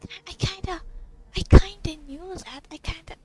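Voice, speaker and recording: A woman giving casual let's play commentary, informal and halting as she thinks aloud. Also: extremely high pitch, dark tone, faint music behind. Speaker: gamer reacting to a story quest.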